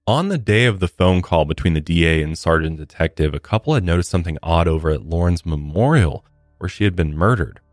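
A man's voice: deep voice, expressive, brisk, dry recording, studio quality. A man co-hosting a true crime podcast, recounting case details in a casual, conversational way with blunt asides.

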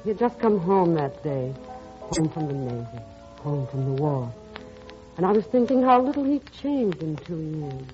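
A woman's voice: sits low, sing-song, moderate pace, dark tone. An actress playing a reflective, affectionate stepmother in 1940s radio drama style. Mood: wistful, reflective. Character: warm reflective stepmother recalling her past. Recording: dull old radio recording, music behind.

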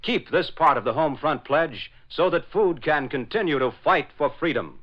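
A man's voice: a little high, expressive, normal speed. An American man announcing and narrating in scripted 1940s radio style. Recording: dull old radio broadcast.

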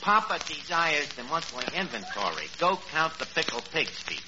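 An actor playing a brash, bumbling New York tavern manager in a 1940s radio comedy, full of boasts and mangled fancy words. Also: male voice, on the high side, very animated, normal speed. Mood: wry. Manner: wisecracking, bumbling malapropisms. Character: brash, boastful Brooklyn tavern manager wooing an old flame. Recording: noisy, muffled old radio broadcast.

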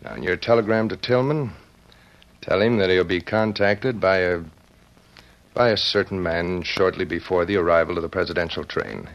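An actor playing a grave, authoritative American security chief in an old radio drama, firm and formal. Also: male voice, low voice, normal speed. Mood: grave, urgent. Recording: dull old radio recording.

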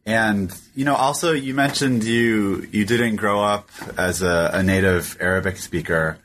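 A man interviewing a guest, asking his questions in a relaxed, halting way. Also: lowish voice, slight background noise.

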